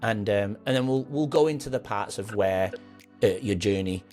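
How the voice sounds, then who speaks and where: A man's voice: lowish voice, moderate pace, darkish tone, soft background music. A man hosting a podcast, chatting earnestly in a rambling way, then closing with an encouraging sign-off. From England. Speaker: podcast host. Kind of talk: casual interview chat and scripted outro.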